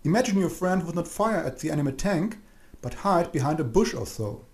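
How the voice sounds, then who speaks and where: Male narrator, slightly high voice, scripted tutorial delivery, measured, didactic, echoey room sound. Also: lively intonation. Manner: measured, didactic, addresses the listener directly. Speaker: narrator of an instructional game video. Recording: roomy, echoing voice-over.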